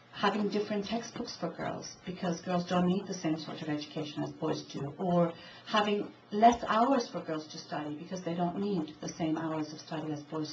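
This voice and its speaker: Earnest woman speaking from afar. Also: on the low side. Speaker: invited speaker. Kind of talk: answering a question at a public talk. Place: event hall. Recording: distant mic, dull, light hiss.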